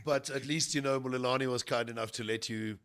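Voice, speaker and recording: A man's voice: mid-range voice, steady tone, fast, a bit bright, smooth. A man hosting a casual podcast interview, joking and teasing his guest with puns. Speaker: podcast host.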